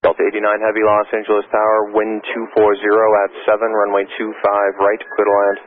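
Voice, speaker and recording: A man's voice: lowish voice, completely flat delivery, a little fast. A man working as an air traffic controller, giving clipped procedural instructions over aviation radio. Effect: aviation radio transmission. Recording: narrow-band, staticky air traffic radio. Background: faint radio noise.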